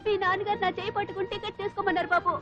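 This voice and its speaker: Saucy, very shrill young woman. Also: level tone, rapid-fire. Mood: flustered. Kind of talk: Telugu comedy film acting. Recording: dull film soundtrack, clear music.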